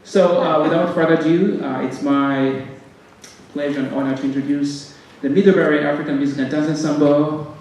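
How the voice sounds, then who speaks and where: A man with a Ugandan accent giving a halting welcome speech as director of a music ensemble, with frequent pauses and repeated words. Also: on the high side, steady tone, a little mellow. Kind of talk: welcome speech to a concert audience. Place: concert stage in a hall. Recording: very echoey hall, distant mic, faint noise.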